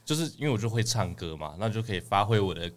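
A man's voice: on the low side, animated, fast. A young man with a Taiwanese Mandarin accent chatting as a podcast guest in a joking, self-mocking way. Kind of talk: casual interview chat.

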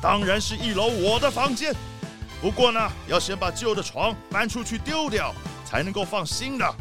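A man's voice: high voice, animated, normal speed, over music. A man playing a rich, boastful, haughty leopard boss in a children's audio drama.

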